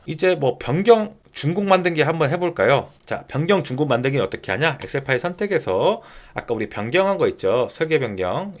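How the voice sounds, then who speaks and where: A man narrating a software tutorial step by step in informal polite speech, often posing a question and then answering it himself. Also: on the high side. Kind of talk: screen-recording tutorial narration, explaining step by step.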